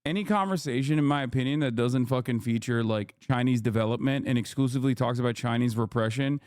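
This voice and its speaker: Male streamer, mid-pitched, heated and profane, rambling rant, dry close sound. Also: quick pace, a little mellow, clean tone, no room sound. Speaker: live streamer. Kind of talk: casual live-stream rant to chat.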